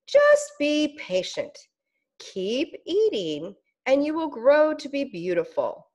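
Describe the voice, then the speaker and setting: A woman voicing a gentle ladybug in a storybook, soothing and patient. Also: high voice, huge pitch swings, takes it slowly, highs cut off, pitched above the performer's own voice, heavily acted.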